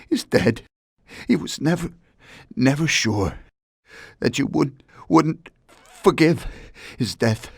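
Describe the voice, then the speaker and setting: A man's voice: mid-range voice, breathy edge, no room sound, clean studio sound, full character voice. A man playing a bitter, menacing American mobster who taunts an old friend in a crime game. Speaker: voice actor in a game cutscene. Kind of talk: dramatic crime game acting.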